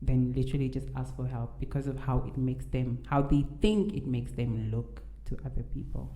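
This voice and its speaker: A woman's voice: very deep voice, normal speed, dark tone. A woman from Namibia with a mixed Nigerian and Namibian accent, speaking as a podcast guest in a candid, encouraging, emphatic way. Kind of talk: casual conversation. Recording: echoey room.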